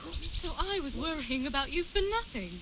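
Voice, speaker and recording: An actress playing a fond, teasing medieval village sweetheart in a video game, with a playful manner. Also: female voice, high-pitched, expressive, moderate pace, heavy background noise. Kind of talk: acted game dialogue.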